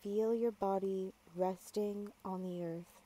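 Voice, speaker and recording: A woman guiding a yoga nidra meditation, giving calm, measured, gentle instructions with long pauses. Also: medium pitch, poor audio. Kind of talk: guided meditation, slow soothing instruction.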